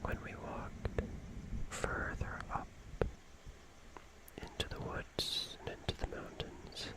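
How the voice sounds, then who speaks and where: ASMR storyteller, soft whisper throughout, slow halting phrasing with long pauses. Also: rough recording. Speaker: ASMR host telling a personal story.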